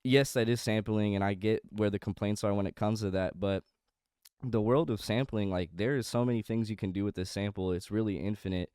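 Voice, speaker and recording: A man's voice: lowish voice, a little fast, darkish tone, clear, resonant tone, dry recording, clean studio sound. A young man, a music producer, talking casually with a congested, slightly nasal voice, rambling with many fillers and restarts. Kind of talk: casual studio walkthrough.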